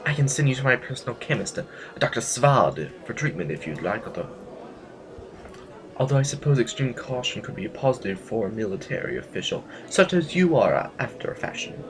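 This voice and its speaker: A man playing a smug, haughty aristocrat in an audio drama, speaking formally with hesitant, deliberate pauses. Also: mid-range voice, very animated, a crowd in the background, lightly acted, poor audio. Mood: condescending. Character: smug, haughty male aristocrat needling a rival general.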